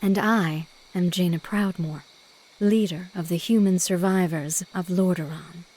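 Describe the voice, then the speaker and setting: A woman's voice: on the low side, fairly even intonation, unhurried, darkish tone, poor audio. A woman playing a composed young human leader in a game cinematic, formal and poised. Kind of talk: video game cinematic acting.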